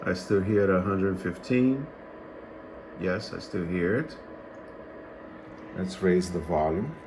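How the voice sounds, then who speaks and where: A man giving an unscripted hands-on demonstration as a radio hobbyist, speaking in a halting, matter-of-fact way. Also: low voice, animated, background noise.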